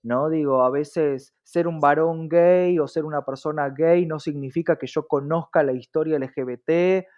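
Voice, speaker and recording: A man's voice: fairly high pitch, steady tone, moderate pace, few pauses, a little mellow. A man with a Latin American Spanish accent explaining his views as an interview guest, often adding short check-in tags, on a muffled recording. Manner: frequent rhetorical check-in tags. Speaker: diversity consultant, interview guest. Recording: dull, lo-fi sound.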